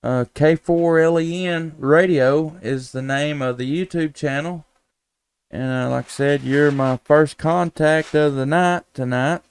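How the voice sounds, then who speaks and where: A man's voice: a little high, steady tone, a little slow, smooth. A man, chatting casually as a ham radio operator on a live stream in a folksy, easygoing way with call-sign phrasing. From United States, Tennessee.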